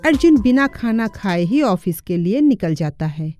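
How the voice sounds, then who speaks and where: A woman's voice: mid-range voice, big pitch swings, measured pace, darkish tone, soft background music. A woman giving scripted narration for a cartoon moral story.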